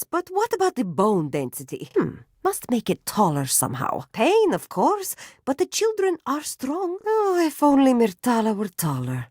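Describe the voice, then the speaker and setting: A woman's voice: a little high, a little mellow, no room sound, clean studio sound, exaggerated voice. A woman voicing a strict, proud, middle-aged circus matriarch with a theatrical lilt in a video game. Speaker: voice actress.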